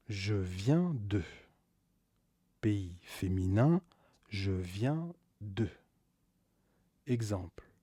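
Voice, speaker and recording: A man teaching a scripted beginner grammar lesson, slowly repeating each key word and stressing it with a rise. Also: lowish voice, warm tone, dry recording, clean studio sound. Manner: slow, deliberate, repeats key words with stressed rises. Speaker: French teacher.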